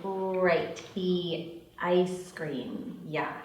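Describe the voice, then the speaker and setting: A woman's voice: fairly low pitch, drawn-out words. A woman playing a cautious, easily exasperated young student thief at a screenplay table read, in an echoey room. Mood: guarded. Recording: echoey room, distant microphone.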